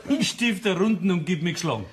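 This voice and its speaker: Husky, blustering Bavarian tradesman. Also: sits high, lively intonation, fairly quick, heavily acted. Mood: baffled admiration. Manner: blustering, disbelieving. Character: blustering, incredulous pub regular, a tricked tradesman. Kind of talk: children's radio play acting.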